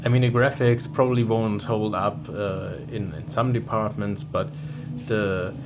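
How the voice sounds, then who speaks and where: A man's voice: lowish voice, unhurried, very noisy. Man commentating gameplay. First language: German. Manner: relaxed, with frequent restarts. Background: game music.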